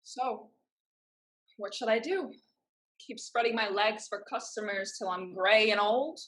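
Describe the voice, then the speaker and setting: A woman's voice: mid-range voice, a little slow, fairly bright tone, natural voice, low-quality recording. A woman playing a bold, headstrong, defiant young survivor in a staged play reading, theatrical and emphatic. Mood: exasperated, defiant.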